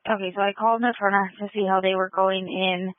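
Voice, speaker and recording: A woman's voice: medium pitch, level tone, fast talker, no room sound. A female emergency dispatcher speaks over a thin, phone-like two-way radio channel. She is procedural, relaying and confirming details, and the sound is close and dry with no room around it. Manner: procedural, repeats details back. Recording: narrow, phone-like scanner radio audio.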